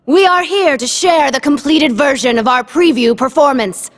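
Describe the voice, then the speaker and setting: A woman playing a poised theatre troupe leader in a video game, giving a measured, ceremonious greeting to an audience. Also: high-pitched, lightly acted. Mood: poised, proud. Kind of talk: formal stage greeting. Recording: long hall reverb.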